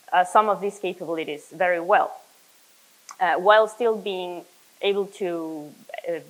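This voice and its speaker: A young woman from Chile presents a technical talk at a developer conference. She explains things earnestly at a middling pitch, often pausing on "uh" and correcting herself mid-sentence. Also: rough recording. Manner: earnest, explanatory, frequent "uh" fillers and self-corrections. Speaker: conference speaker, software developer.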